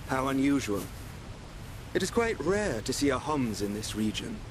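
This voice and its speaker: A calm and enigmatic young stranger, played by a man, with a mid-pitched voice and courteous, formal phrasing. A clear low rumble sits behind this game cutscene voice. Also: lightly acted. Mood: serene, knowing. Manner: formal, polite, measured phrasing. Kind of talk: video game cutscene acting.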